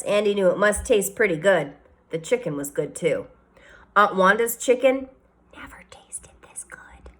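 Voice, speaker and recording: A woman reading a children's novel aloud, the way a teacher reads to a class. Her storytelling is expressive, with shifting emphasis and pace. Her pitch sits in the middle for a woman.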